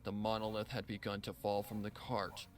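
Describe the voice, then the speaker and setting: A man's voice: on the low side, completely flat delivery, normal speed, slight background noise. A man reading a scripted story as narrator, with a solemn, dramatic delivery. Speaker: narrator reading fan fiction.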